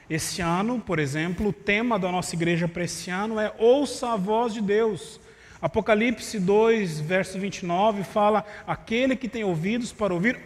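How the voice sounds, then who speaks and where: A man preaching a sermon in a conversational way, with rhetorical asides to the congregation, in a voice that tires easily. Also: high voice. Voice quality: vocal cord problem, voice tires easily. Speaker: pastor. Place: church sanctuary. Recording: live church stream with long reverb.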